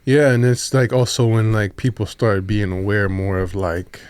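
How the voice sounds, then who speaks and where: A man hosting a podcast, talking slowly and deliberately in casual chat. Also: lowish voice, flat delivery, darkish tone, smooth, dry recording. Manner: slow, deliberate talker. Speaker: podcast host. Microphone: studio podcast mic, dry room.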